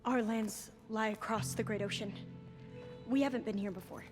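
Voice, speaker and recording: A woman acting as an earnest young scholar from a devout tribe in a game. Her voice is high, her wording formal and reverent, with some hesitation. Music swells behind her. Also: steady tone, normal speed, lightly acted. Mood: earnest, grave. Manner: formal, hesitant, solemn phrasing. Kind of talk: dramatic video game acting.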